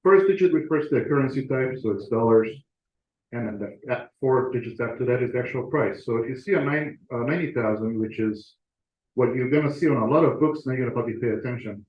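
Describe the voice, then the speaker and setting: A man gives a relaxed presentation to fellow club members, working through slides with fillers and restarts. His mid-pitched voice rings in a roomy meeting space. The recording is dull and lo-fi. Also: quick pace. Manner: conversational with frequent fillers and restarts.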